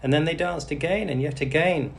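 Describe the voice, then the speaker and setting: A man reading a bedtime story aloud for English learners in an animated, deliberate manner. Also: on the high side. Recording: clearly noisy background.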